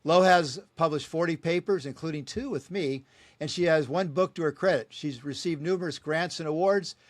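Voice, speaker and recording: A man's voice: a little high, moderate pace, dry recording. A man hosting a podcast, reading his guest's introduction and joking about names he can't pronounce. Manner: friendly, chuckles at his own asides. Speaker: podcast host. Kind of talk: reading a guest introduction, then casual interview.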